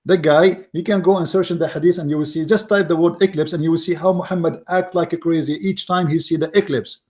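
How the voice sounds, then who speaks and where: A man with an Arabic accent whose first language is Arabic, giving argumentative commentary in mocking, emphatic English with repeated words and halting restarts. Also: a little high, fairly even intonation, a little mellow. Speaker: online commentator. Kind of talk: argumentative commentary reading from an article.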